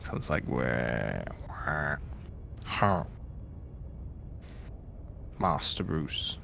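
A tired man with a croaky voice, slowly reading a short story aloud on a stream. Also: a bass voice, big pitch swings, sharp tone, slight background noise. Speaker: stream co-host.

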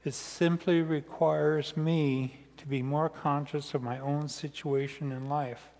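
Older American pastor in an echoing hall. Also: male voice, on the high side, fairly even intonation, takes it slowly, vocal fry, a little mellow. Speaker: pastor preaching. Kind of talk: sermon read from notes. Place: large church sanctuary, indoors, seated at the front. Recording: reverberant church hall sound.

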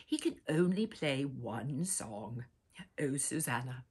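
Older female storyteller, sixties, very husky, slow and theatrical, dropping to breathy whispers for young listeners. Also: rumbling low, lively intonation, darkish tone. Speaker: storyteller, host. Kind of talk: theatrical children's picture-book read-aloud. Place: small furnished room indoors with bookshelves.

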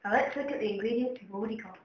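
A woman's voice: fairly high pitch, rapid-fire, a little hiss or hum. A young woman presenting a mock cooking show as its host. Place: home kitchen. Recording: echoey kitchen room sound.

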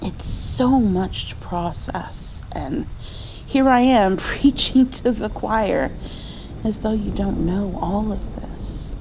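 A woman playing a loving mother who comforts her grown child in a tender, hushed ASMR roleplay. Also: medium pitch, noisy background. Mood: sympathetic, soothing. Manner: tender, soothing, sometimes dropping toward a whisper.

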